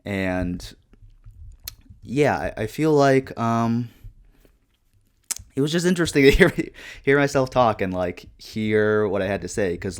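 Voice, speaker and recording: Twenty-something guy, kinda low voice, deadpan monotone, rambly chill gaming vlog talk. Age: 20s. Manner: deadpan monotone with many fillers. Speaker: YouTuber. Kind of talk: reflective casual talk over gameplay.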